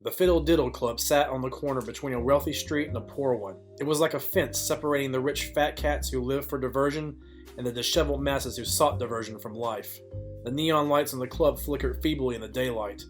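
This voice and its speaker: A man's voice: medium pitch, fairly quick, soft background music, natural voice. A man playing a wry, businesslike private detective narrating and questioning in a noir audio play. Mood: wry, brooding.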